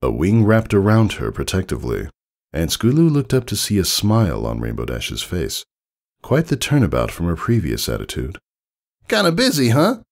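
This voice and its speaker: A man narrates a story from a script. His voice is very deep and creaky, with fry in it. The intonation swings widely as he reads, keeping the delivery expressive. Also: normal speed. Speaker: fan fiction reader.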